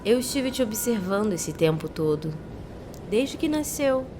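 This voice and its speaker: A woman playing a seductive, sassy mermaid in an audio drama, speaking in a soft, delicate, beguiling way. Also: mid-range voice, very animated, measured pace, background noise. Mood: tender, seductive.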